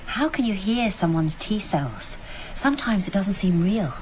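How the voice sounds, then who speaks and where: An actress plays a female scientist doctor in a radio drama. She speaks in a mid-range voice, brisk and matter-of-fact, a little guarded. Faint noise runs behind her. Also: medium pitch, lively intonation, a little mellow, natural voice, low-quality recording.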